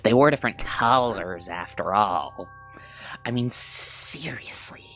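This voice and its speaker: A woman voicing an unhinged, rambling male stranger, slipping into whispers, with soft background music. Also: a bass voice, animated, slow pace, voice pushed down, heavily acted. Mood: rambling menace.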